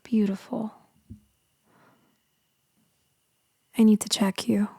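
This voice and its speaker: Woman acting gentle female vampire, ASMR roleplay, breathy whispers, faint creak, close dry sound, reassuring, hesitant. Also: mid-range voice, little pitch movement, no echo. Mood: tender, reassuring. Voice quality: soft and breathy, often dropping to a whisper. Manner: tender, slow, reassuring, hesitant pauses. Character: gentle female vampire soothing someone she saved.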